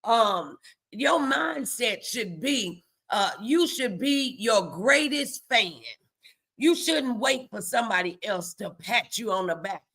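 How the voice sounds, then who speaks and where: Female motivational host, faith-based exhortation. Unhurried, bright and forceful, emphatic, talks straight to the viewer. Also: on the high side, animated, husky. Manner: emphatic, repetitive, addresses viewers directly. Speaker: host of a morning motivation live stream.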